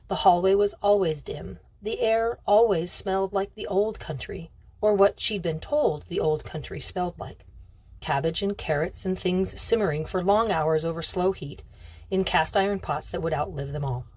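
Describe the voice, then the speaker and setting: A woman reading a novel aloud as expressive narration. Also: fairly low pitch, moderate pace, slightly rough. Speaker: reader of a novel.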